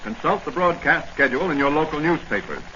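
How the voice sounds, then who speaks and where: A man's voice: on the high side, expressive, fairly quick, sharp tone. A man narrating a 1940s radio serial as announcer, in a dramatic, formal style. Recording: noisy, muffled old radio recording.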